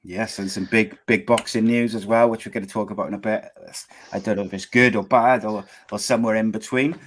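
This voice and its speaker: A man's voice: lowish voice, steady tone, brisk, a little hiss or hum. A man hosting a live podcast show, chatty and loose, with frequent fillers and restarts as he introduces guests and runs the show. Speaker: podcast host.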